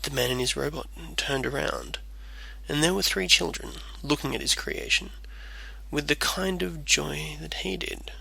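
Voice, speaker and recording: A man's voice: fairly high pitch, sing-song, measured pace, vocal fry, sharp tone, slightly rough, no room sound, poor audio. A man reading a scripted storybook tale as its narrator.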